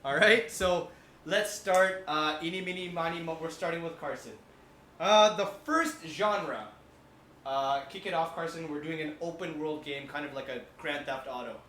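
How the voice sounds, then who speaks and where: A man with a bright, slightly high voice hosts a podcast segment. He talks casually and rambles in a joking, informal way. The room echoes around him, with faint noise behind. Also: moderate pace. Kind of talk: casual banter, hosting a game segment. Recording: roomy sound with faint background noise.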